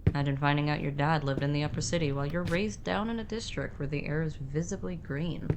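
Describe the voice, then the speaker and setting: A woman's voice: low-pitched, quick pace, noisy background. A woman co-narrating an atmospheric scripted fiction podcast.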